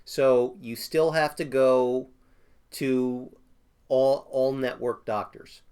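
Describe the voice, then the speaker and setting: A man with a hoarse voice from a cold, explaining plan types off the cuff and often clearing his throat. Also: mid-range voice, steady tone, takes it slowly, warm tone. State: hoarse, getting over a cold. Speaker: insurance agent. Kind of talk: casual explainer talk.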